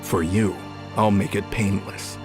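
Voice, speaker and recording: A man's voice: fairly low pitch, level tone, measured pace, over music, lightly acted. Man acting a taunting, casual male angel of death. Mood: cold menace. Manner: casual, sardonic, menacing. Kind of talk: mythology audio drama acting.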